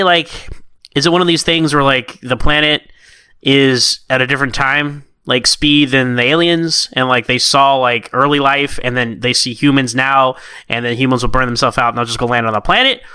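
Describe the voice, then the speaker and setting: Male podcast co-host, clean and forward tone, mid pitch, casual and halting review chat in a dry space. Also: pushed and bright, clear, resonant tone, no echo.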